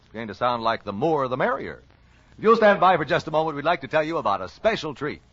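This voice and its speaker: A man's voice: fairly high pitch, sing-song, fast talker, heavy background noise. Old-time american radio announcer guy, voice swings up and down, dull lo-fi sound. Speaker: radio announcer reading sponsor ad. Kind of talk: 1940s radio announcing and ad reading. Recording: dull lo-fi 1940s radio recording.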